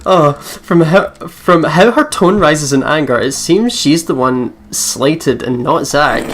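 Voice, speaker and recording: A woman's voice: sits low, huge pitch swings, slow pace. A woman hosting a let's play, reading visual novel narration aloud and breaking off into casual, exclaiming reactions. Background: game music.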